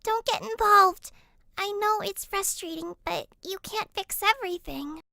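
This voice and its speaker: Young peacemaker voiced by a woman: extremely high, piercingly bright, earnest and pleading, anime fandub. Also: measured pace, vocal fry, dry recording, studio quality, exaggerated voice. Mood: worried. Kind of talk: anime game fandub acting.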